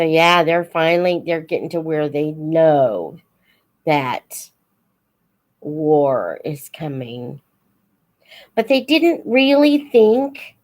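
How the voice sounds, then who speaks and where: A woman hosting a casual live chat, unhurried and thinking aloud as she talks to her viewers. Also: lowish voice, a little mellow. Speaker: host of a live book Q&A, teacher-like reader.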